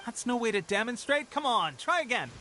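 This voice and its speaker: An actor playing a confident young warrior leader in a fantasy video game, with a teasing, encouraging manner. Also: male voice, extremely high pitch, very animated, a little fast, bright, forceful tone, slight background noise, full character voice.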